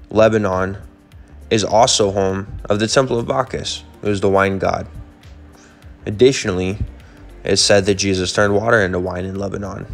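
A man's voice: sits low, vocal fry. A man hosting a travel vlog, narrating a city walking tour in an informative, easygoing way. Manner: informative, easygoing, with casual fillers.

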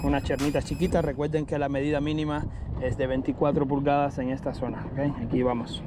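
A man narrating his fishing from a kayak on an open bay, excitedly showing each catch to viewers through a head-mounted camera. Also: medium pitch, fairly quick, background noise. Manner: excited, keeps addressing viewers as friends. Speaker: fishing vlogger. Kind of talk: casual fishing commentary to camera. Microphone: head-mounted action camera.

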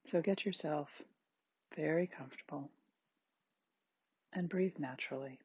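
A meditation guide with a husky, breathy, androgynous voice, giving gentle, unhurried body scan instructions in short spaced phrases. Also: medium pitch, no echo, muffled recording. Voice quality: very husky, breathy, at times near-whispered.

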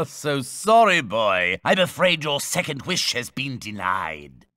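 An actor playing a scheming, gloating sorcerer vizier in a video game, theatrical, haughty and menacing. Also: male voice, medium pitch, very animated, takes it slowly, bright, forceful tone, big-room sound, exaggerated voice. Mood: smug menace. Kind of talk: animated video game acting.

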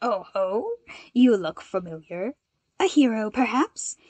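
Girl doing a smug villain guy in a fanfic reading, sneery and theatrical, taunts slowly. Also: a little high, big pitch swings, a little mellow, husky, no room sound, exaggerated voice. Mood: sneering, taunting. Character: gleeful, sneering male villain boss, cocky robber.

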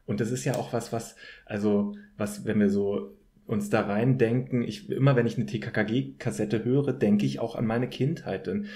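A man talking casually as a podcast guest, reminiscing with hesitant pauses. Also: lowish voice, steady tone, mellow. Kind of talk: casual conversational podcast talk.

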